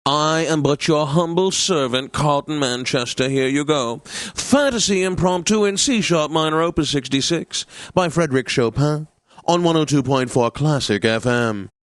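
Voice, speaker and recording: An actor playing a pompous, snobbish classical radio DJ in a video game, theatrical and jovial, with flowery diction. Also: male voice, on the high side, normal speed, dry recording, close to an everyday voice. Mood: playfully grand.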